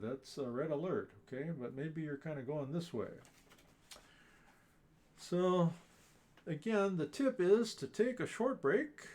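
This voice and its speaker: A man's voice: a little high, darkish tone. A man hosting a live ukulele class, talking in a friendly, teacherly way with frequent chuckles and fillers. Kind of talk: live online class talk.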